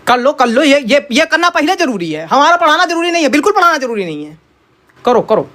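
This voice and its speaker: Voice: sits high, big pitch swings, brisk, fairly bright tone. A performer voicing an irritable male maths teacher in a comic cartoon, grumbling sarcastically in colloquial speech. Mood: exasperated. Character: exasperated male maths tutor teaching an online class.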